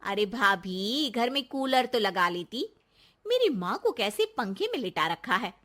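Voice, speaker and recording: Woman playing haughty, high-voiced nagging sister-in-law. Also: big pitch swings, measured pace, a bit bright. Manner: taunting, scornful.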